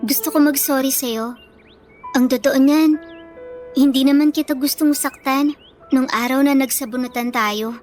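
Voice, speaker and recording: A woman's voice: sits high, fairly even intonation, moderate pace, pauses often, darkish tone, faint music behind, natural voice. A woman playing a meek but steadfast bullied teenage schoolgirl in a radio drama, pleading and earnest, with a Filipino accent. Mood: apologetic, uneasy.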